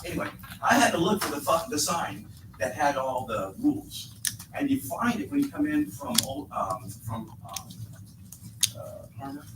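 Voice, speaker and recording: A man's voice: a little high, normal speed. A man speaking at a public meeting, telling a rambling, chatty story to the board. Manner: rambling, chatty, with lively laughter. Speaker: resident speaking at public comment. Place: echoey meeting room. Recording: echoey, distant, noisy meeting room audio.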